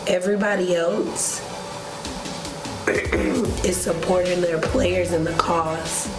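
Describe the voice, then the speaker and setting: A woman co-hosting a vlog, speaking candidly and conversationally with earnest, deliberate emphasis. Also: on the low side, fairly even intonation. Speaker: vlog co-host. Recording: roomy echo, music underneath.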